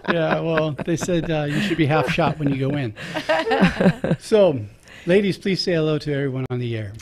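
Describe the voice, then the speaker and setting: A man's voice: a little high, normal speed, slight background noise. A man, a priest, hosting a radio talk show in a casual, jokey way, chuckling between remarks.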